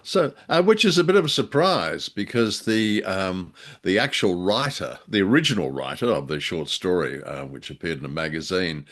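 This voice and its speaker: An Australian man co-hosting a podcast in casual chat, speaking haltingly with a wry, dry humour. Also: low voice, lively intonation, a bit bright. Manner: hesitant, wry, chuckling storyteller with dry humour. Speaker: podcast co-host.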